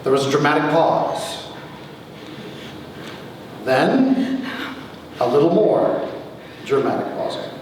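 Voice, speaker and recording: A man reads a humorous memoir with wry timing and dramatic pauses. His fairly high voice swings in an animated way. He is picked up from a distance in a very echoey hall. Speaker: author reading his own story. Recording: echoey hall from far off, faint noise.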